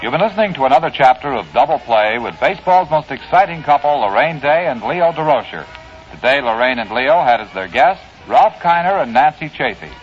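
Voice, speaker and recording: A man's voice: medium pitch, lively intonation, fairly quick, faint music behind. An American man announcing a radio show in 1950s style. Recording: muffled 1950s radio recording.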